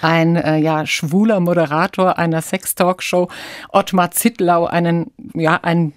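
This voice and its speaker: Low-voiced woman hosting radio interview, dry sound. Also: fairly even intonation, a little slow, no echo. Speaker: radio host. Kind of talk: measured radio interview moderation.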